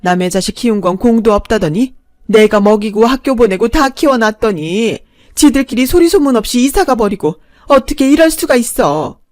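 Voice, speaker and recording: A woman voicing a shameless, nagging elderly stepmother-in-law who scolds loudly. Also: fairly high pitch, expressive, normal speed, lightly acted. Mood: wailing. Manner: nagging, wailing, self-pitying.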